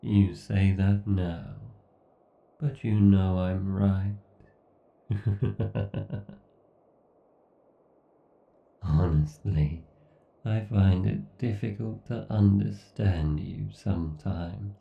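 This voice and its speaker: A man's voice: fairly even intonation, drawn-out words, exaggerated voice. A man playing an obsessed, condescending villain AI god in a horror ASMR roleplay, with a brooding, theatrical, menacing delivery. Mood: smug condescension. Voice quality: gravelly, dark, low. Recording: dull, roomy, slightly distant sound.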